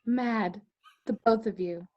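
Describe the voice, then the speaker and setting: A woman plays a spirited teenage witch in a fantasy drama. Her voice is fairly high and soft-toned, with an exasperated edge, on a slightly dull recording. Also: slow.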